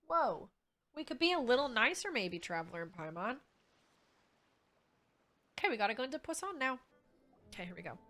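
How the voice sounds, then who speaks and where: Casting: young female game streamer, bright forceful voice, lively pitch swings, jokey, sweary reaction commentary. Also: brisk, pauses often, faint music behind. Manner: irreverent, jokey, slangy, sweary. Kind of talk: casual playthrough reaction commentary.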